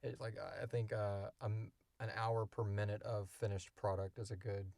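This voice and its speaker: Guy with a lowish voice just rambling casually on a podcast q&a, really dry sound. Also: little pitch movement, normal speed, darkish tone, no echo. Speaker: podcast co-creator and co-host. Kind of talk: casual unscripted Q&A chat.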